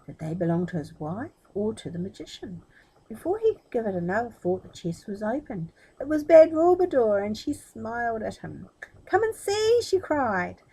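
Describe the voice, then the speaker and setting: A woman's voice: medium pitch, very animated, normal speed, warm tone, breathy edge, poor audio. A woman reading a children's story expressively, dramatic and speaking directly to her listeners.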